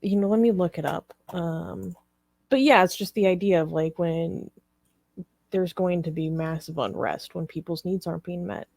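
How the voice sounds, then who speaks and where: Female co-host, slightly low register, informal and hesitant news talk, dry close recording. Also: steady tone, normal speed, gravelly, a little mellow, no echo. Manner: hesitant, careful to qualify claims. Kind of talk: casual news discussion.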